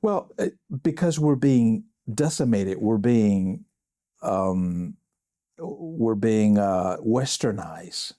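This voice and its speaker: A man hosts a casual podcast chat. His voice sits low and mellow, recorded dry and close. He talks earnestly, often stopping to restart his sentences. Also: lively intonation, dry recording. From Samoa. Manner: earnest, self-deprecating, speaks in halting restarts. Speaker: podcast host. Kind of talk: casual faith podcast conversation.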